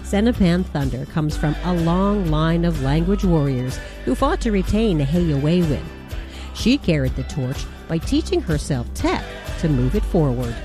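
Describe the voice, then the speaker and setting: A woman hosting a radio show, narrating a scripted feature in a measured, deliberate way. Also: sits low, big pitch swings, music in the background.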